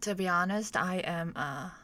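A woman's voice: fairly low pitch, normal speed, no room sound. A woman whose first language is Cantonese, chatting casually in English as a podcast host, hesitant and often dropping to a near whisper. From Hong Kong or Cantonese-speaking region. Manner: soft-spoken, hesitant, often trails into a whisper. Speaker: podcast host, guest in this chat. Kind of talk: casual chat.

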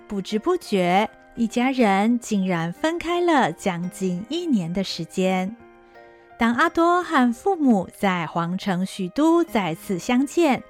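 A woman narrating an audio drama story in a gentle, expressive way. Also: fairly high pitch.

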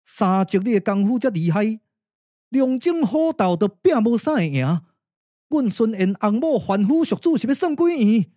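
A man's voice: high voice, very animated, normal speed, dark tone, no echo, studio quality. A man voicing an earnest, anxious young warrior with a Taiwanese accent, speaking emphatically and formally in a puppet drama. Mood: fearful, protesting.

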